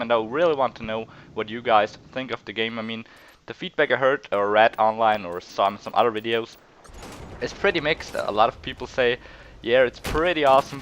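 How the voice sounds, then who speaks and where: A man's voice: medium pitch, a bit bright, slight background noise. A young man with an Austrian German accent, sick with a cold, giving hesitant, rambling gameplay commentary full of fillers and restarts. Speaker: gaming channel host.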